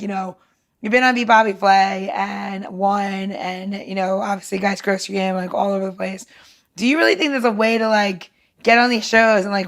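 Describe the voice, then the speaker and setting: A woman chatting casually and excitedly, informal in her wording and often quoting bits of dialogue. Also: medium pitch. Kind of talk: casual chat.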